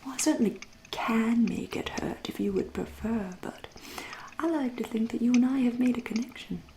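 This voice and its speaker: A woman playing a centuries-old vampire in an ASMR roleplay, with an English accent, a soft breathy half-whisper and a genteel, teasing, politely menacing manner. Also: a little high, background noise, natural voice. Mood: polite, intrigued. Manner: slow, deliberate, politely menacing.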